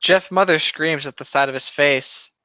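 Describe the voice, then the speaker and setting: A man's voice: on the high side, moderate pace, bright, forceful tone, dry recording, lo-fi sound. A man co-hosting a comedic story reading, reading lines aloud and adding crude, teasing asides.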